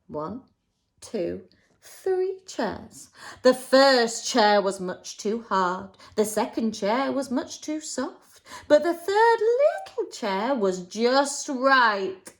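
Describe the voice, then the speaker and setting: Slow, expressive woman reading to children. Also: on the high side. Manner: dramatic emphasis and pauses for young children. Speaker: infant school teacher.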